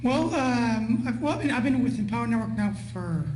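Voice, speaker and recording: A man's voice: very high voice, lively intonation, moderate pace, darkish tone. Hesitant man in an echoey room. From Guatemala. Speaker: webinar participant. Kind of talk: casual conversation on a live call. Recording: echoey room with faint hum.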